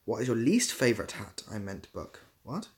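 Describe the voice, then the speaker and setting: A man's voice: on the low side, big pitch swings, normal speed. A young man hosting a casual livestream, reading out comments and giving dry, self-deprecating, rambling answers. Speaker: livestream host.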